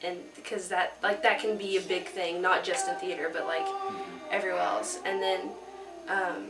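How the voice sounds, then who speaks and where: A teenage girl reflecting casually in an interview, halting as she searches for words. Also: lowish voice, level tone. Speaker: high school student actor. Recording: echoey room, distant mic, music over.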